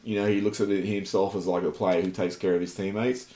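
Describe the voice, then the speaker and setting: Australian man, deep voice, blunt, swearing, rambling sports chat, roomy echo. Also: little pitch movement, quick pace, mellow, a little hiss or hum. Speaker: podcast co-host.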